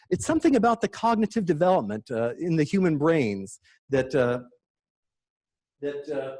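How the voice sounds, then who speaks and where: A man with a high, light voice presents at a conference, eager and quick to make a small joke. He sounds middle-aged and talks the audience through his slides. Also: darkish tone, rough recording. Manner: enthusiastic, lightly joking. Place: conference room.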